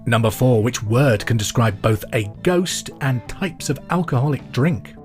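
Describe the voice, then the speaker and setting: A man's voice: mid-range voice, expressive, a little slow, soft background music. A man with a British accent hosting a pub quiz podcast in an informal, chatty way with jokey asides.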